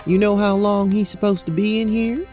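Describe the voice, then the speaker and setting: An actor playing a dainty, polite Southern belle adventurer with a Southern US accent in a fantasy audio drama. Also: female voice, medium pitch, fairly even intonation, moderate pace, dark tone, soft background music, close to an everyday voice. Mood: curious, composed. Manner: genteel, courteous.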